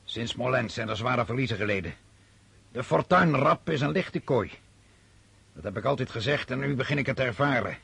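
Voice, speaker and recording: A man acting an imperious, brooding French emperor on campaign in a radio play, with a commanding, declamatory manner and a husky voice. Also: medium pitch, animated, fairly quick, a bit bright, no echo, heavily acted. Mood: musing.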